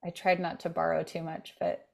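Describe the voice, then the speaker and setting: A woman's voice: on the low side, monotone, normal speed, gravelly, a little mellow. A woman who grew up in France, chatting casually and informally as a podcast host, thinking aloud. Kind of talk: casual chat.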